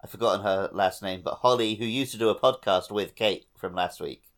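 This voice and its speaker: A man's voice: fairly low pitch, fairly quick, a bit bright. A man co-hosting a podcast, chatting casually about a video game with wry, joking asides. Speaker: podcast co-host.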